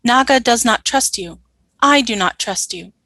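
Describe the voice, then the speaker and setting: A woman's voice: a little high, expressive, measured pace, pushed and bright, no echo, heavily acted. A woman playing a hostile, dutiful alien soldier in a radio play, with stiff, terse military delivery.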